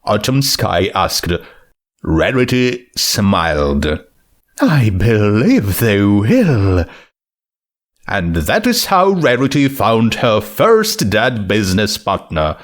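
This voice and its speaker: Slow, expressive male story reader. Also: fairly low pitch. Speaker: fan fiction reader. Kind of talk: scripted story narration.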